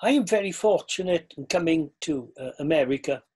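A man's voice: a little high, a little fast, slightly rough. An older man with a Welsh accent, a former pastor talking earnestly over a video call from a book-lined study. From Wales. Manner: earnest, reflective, animated gestures.

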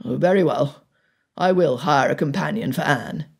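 A woman voicing a stern, exasperated gentleman father in an audiobook, with a gruff, commanding and formal manner. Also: low voice, normal speed, pitched below the performer's own voice, heavily acted. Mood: grudging. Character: stern, exasperated titled father of a wilful daughter. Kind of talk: audiobook character dialogue.

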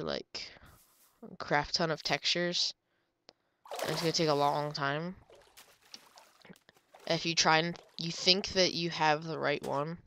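Young woman, nasal and stuffed up from a cold, slow rambly game chat, lowish bright voice. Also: vocal fry, a little hiss or hum. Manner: chatty, rambling, drifts into murmurs. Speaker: gamer. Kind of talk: casual gameplay commentary.

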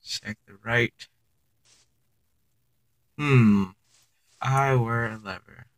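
A man's voice: mid-range voice, extremely slow, low-quality recording. A young man giving casual gameplay commentary for his channel, chatting to viewers and reacting aloud as he plays. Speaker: gaming YouTuber.

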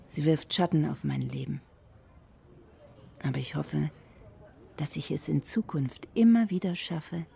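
An actress playing a reflective, burdened middle-aged woman reading her letter in a hushed, introspective way in a radio play. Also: sits low, vocal fry, breathy edge, full character voice. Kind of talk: intimate letter monologue in a German radio play.

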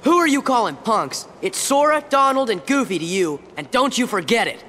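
A man's voice: very high-pitched, big pitch swings, normal speed, slight background noise, full character voice. A young-sounding man playing a brash, spirited teenage boy hero in a video game, cocky and youthful. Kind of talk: animated video game acting. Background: loud game music.

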